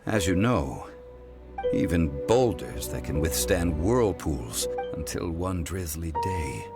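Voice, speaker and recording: A man voicing an ancient, dignified male god in a video game, speaking in a measured, formal and unhurried way. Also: low-pitched, animated, full character voice. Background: music.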